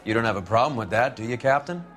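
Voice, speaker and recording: A man's voice: medium pitch, quick pace, fairly bright tone, slight background noise, close to an everyday voice. An actor playing a brash, domineering male police detective of the 1940s in a crime game, talking with a sneer. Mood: swaggering.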